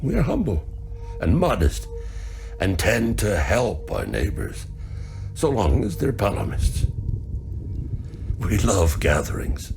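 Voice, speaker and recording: A devout, grieving villager in a video game. His low, creaky voice moves in slow, measured phrases with wide swings in pitch, solemn and reflective. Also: wildly swooping pitch, darkish tone, faint music behind, full character voice. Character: grieving, devout male villager recalling his sons and faith. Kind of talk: dramatic game monologue.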